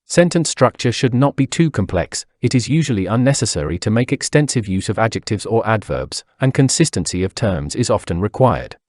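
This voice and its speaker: A man reading a scripted passage aloud in a formal, measured manner. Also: on the low side, sing-song, a little mellow, no room sound, studio quality. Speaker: read-aloud model reader.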